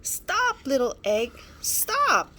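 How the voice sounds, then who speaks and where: A woman voicing a small, plucky, easily flustered young male dinosaur in a children's storybook, exclaiming and yelling. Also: high-pitched, wildly swooping pitch, very slow, voice pushed up, heavily acted. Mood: urgent, yelling. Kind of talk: children's storybook character reading.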